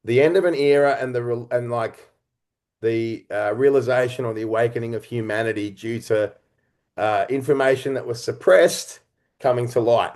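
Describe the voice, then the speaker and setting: A man's voice: medium pitch, normal speed, a little mellow. Earnest man in a thoughtful interview. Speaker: interview guest, writer-director and actor. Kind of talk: conversational interview storytelling.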